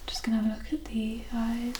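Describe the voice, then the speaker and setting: A woman softly whispering a medical ASMR roleplay as a doctor, breathy, gentle and reassuring. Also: a little high, monotone, moderate pace. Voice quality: breathy, mostly whispered soft voice. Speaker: ASMR performer roleplaying a doctor giving a physical exam. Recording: roomy echo, distant mic, clear background noise.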